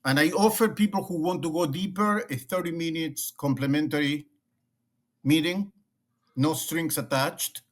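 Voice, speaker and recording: This is a middle-aged man from Argentina whose first language is Spanish, and his Argentine accent colors every line. He speaks as a guest in a remote interview with a fairly high voice, filling pauses with "eh" and "then" and repeating words as he builds his point. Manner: animated, repeats words and restarts often, uses "eh" and "then". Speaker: guest, leadership consultant. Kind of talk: conversational interview.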